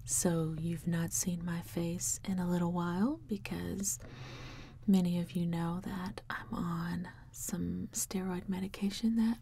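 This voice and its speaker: A woman in her thirties doing ASMR in a slow, soothing whisper, close to the mic. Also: fairly low pitch, steady tone, vocal fry, mellow, slightly rough. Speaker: ASMR video creator. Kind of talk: ASMR whispering, gentle makeup roleplay.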